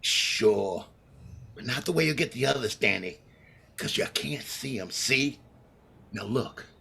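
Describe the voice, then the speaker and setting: An actor playing a scheming, vengeful condemned gangster with tough slangy talk, in the manner of a 1930s radio crime drama. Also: male voice, medium pitch, animated, unhurried, creaky, sharp tone, husky, full character voice. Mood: coaxing, menacing.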